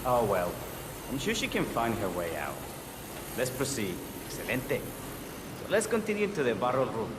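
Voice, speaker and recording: An actor playing a genial, polite winery tour guide in a video game, courteous and effusive. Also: male voice, mid-range voice, wildly swooping pitch, moderate pace, background noise. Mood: upbeat, polite. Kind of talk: cinematic game voice acting. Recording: very loud music behind the voice.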